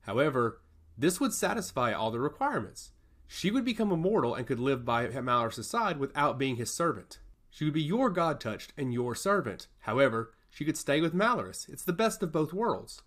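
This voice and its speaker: A wry, quick-witted woman, voiced by a male narrator, makes her case with lively pitch. She is firm and persuasive, a fantasy audiobook heroine. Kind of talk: fantasy audio story dialogue.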